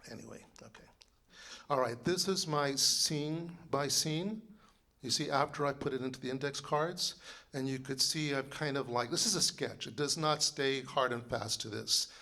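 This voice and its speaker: A man in his sixties, a composer and librettist, giving a loud, rambling informal lecture full of fillers and restarts. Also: mid-range voice, normal speed. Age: 60s.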